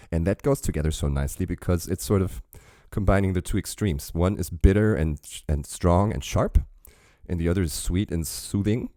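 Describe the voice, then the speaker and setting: A man with an extremely deep, dark voice hosts a casual reaction show, rambling through chatty asides. His voice is recorded close and dry. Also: no echo. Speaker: reaction channel host.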